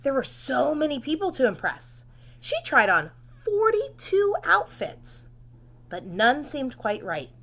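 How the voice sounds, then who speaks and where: Bright, animated woman reading to kids. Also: sits high, measured pace. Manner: expressive, dramatic, simple explanations for young children. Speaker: preschool read-aloud teacher. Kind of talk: children's storybook narration with asides and questions.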